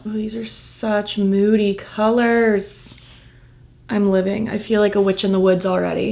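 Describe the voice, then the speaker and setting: A woman chatting casually through a makeup tutorial, gushing about the colors and murmuring softly while she applies them, her voice a little croaky from losing it. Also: medium pitch. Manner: gushing, enthusiastic, soft murmurs while applying. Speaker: makeup vlogger.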